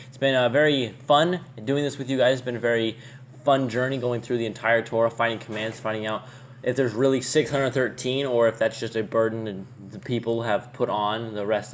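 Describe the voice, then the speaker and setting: A man with a middle-pitched voice co-hosts a relaxed scripture talk, upbeat and a bit repetitive in his phrasing. Faint background noise sits under the recording. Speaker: co-host. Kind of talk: casual Bible study chat.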